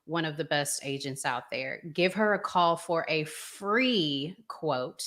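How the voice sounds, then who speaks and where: Southern american lady hosting, slow easy chatty talk with lots of fillers. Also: low-pitched. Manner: relaxed, chatty, with frequent fillers. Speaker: host. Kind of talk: casual chat.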